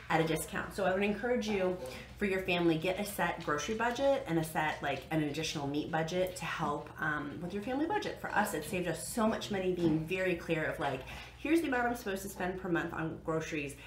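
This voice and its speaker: A woman's voice: on the low side, a bit bright. An American woman in her thirties chatting casually and emphatically to camera as a vlog host, sharing practical tips and repeating points for emphasis. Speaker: vlog host giving budget diet tips. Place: living room at home, indoors. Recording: echoey living room with background noise.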